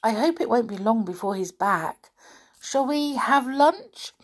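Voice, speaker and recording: A woman voicing a sweet, gentle young girl in a storybook reading, speaking in a soft, drawn-out, fond way. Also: a little high, expressive, voice pushed up.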